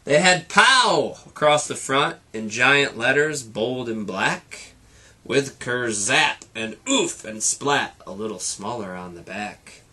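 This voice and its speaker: A man reads a children's story in a bright, forceful voice. He takes his time over the rhythmic verse and delivers it with drama and playful asides, like a teacher reading to a class. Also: medium pitch, a little slow. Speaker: teacher reading aloud to students. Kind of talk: expressive picture-book read-aloud.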